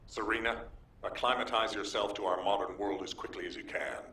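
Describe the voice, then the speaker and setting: An actor playing a decisive, authoritative military commander in a video game, giving orders firmly. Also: male voice, lowish voice, steady tone, a little fast, pushed and bright. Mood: firm, commanding. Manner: firm, commanding delivery. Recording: echoing, distant from the mic.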